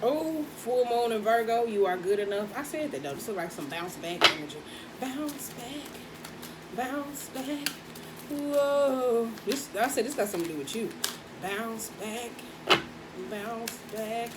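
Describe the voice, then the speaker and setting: A woman with a husky voice doing a casual, chatty tarot reading, speaking in with laughs and slangy asides. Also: fairly high pitch, expressive, unhurried, heavy background noise. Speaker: tarot reader.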